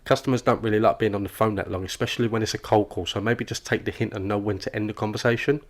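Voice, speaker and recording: A man plays a cartoon office chatterbox. His voice sits fairly low and races along, cheerfully oblivious as he rambles and overshares with whoever is near. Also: fairly even intonation, fast, natural voice. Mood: earnest rambling. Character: oblivious, relentlessly chatty male office worker. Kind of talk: comic animated dialogue.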